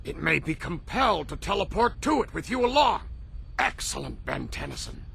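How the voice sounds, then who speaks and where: A man's voice: fairly high pitch, sing-song, normal speed, vocal fry, fairly bright tone, slight background noise, heavily acted. A man playing a cranky, haughty alien elder in a cartoon video game, speaking in a stern, scolding, formal way.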